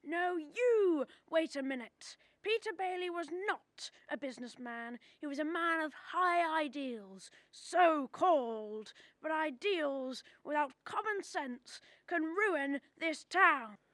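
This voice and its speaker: A woman's voice: high voice, lively intonation, takes it slowly, hardly any pauses, a bit bright, dry recording, heavily acted. A young woman in a radio drama, playing a stern, scornful businessman in a pompous, emphatic manner. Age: young adult. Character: stern, scornful businessman demanding to shut a company down. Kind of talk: student radio drama acting.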